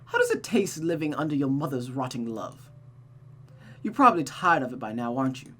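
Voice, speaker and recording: A woman's voice: a bass voice, huge pitch swings, fairly quick, fairly bright tone, exaggerated voice. Woman acting a proud, bitterly sneering cursed man. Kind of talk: visual novel character read-aloud.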